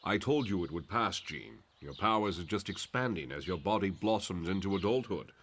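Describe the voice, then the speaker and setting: A man playing a calm, fatherly older telepathic mentor in a motion comic, speaking in a measured, paternal way. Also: low-pitched, slight background noise. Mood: fatherly, soothing.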